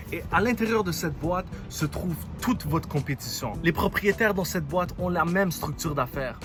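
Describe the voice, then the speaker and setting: A man whose first language is Arabic, talking to camera about business, explaining with rhetorical questions. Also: fairly high pitch. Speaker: entrepreneurship vlogger. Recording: music playing underneath. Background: background music.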